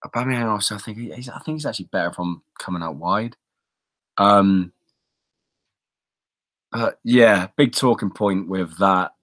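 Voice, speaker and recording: Low-voiced man rambling through sports talk. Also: moderate pace, pauses often, fairly bright tone. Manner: rambling, thinking aloud. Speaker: podcast co-host. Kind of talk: casual football fantasy league discussion.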